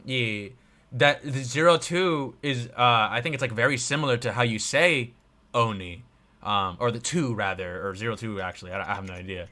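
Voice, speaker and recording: Young male streamer, bright tone, rambling review chat, drops to a mumble often. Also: medium pitch, moderate pace. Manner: rambling, many fillers, trails off quietly. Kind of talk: casual review chat on a livestream.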